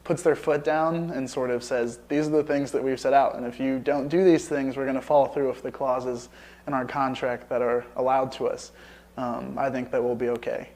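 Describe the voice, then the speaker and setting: A man's voice: mid-range voice, fairly even intonation, moderate pace, a little mellow. A young man, a student, giving casual interview answers. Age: young adult.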